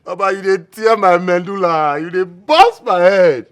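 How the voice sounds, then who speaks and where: An actor with a Nigerian Pidgin accent playing a young man who teases his friend with excitable exclamations. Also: mid-range voice, moderate pace. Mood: teasing.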